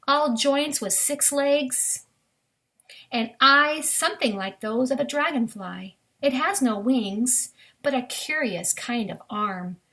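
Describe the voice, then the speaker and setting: A woman's voice: a little high, sharp tone. A woman reading a children's science book aloud, addressing young listeners directly in an instructive way, with brief asides of her own. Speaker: reader of a children's nature book.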